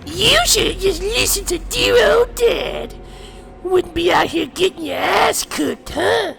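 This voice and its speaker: A woman's voice: extremely high pitch, lively intonation, takes it slowly, vocal fry, exaggerated voice. A woman playing a cocky, taunting Saiyan warrior villainess with a brash manner in an anime-style fan drama. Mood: mocking, impatient. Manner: taunting, brash, foul-mouthed.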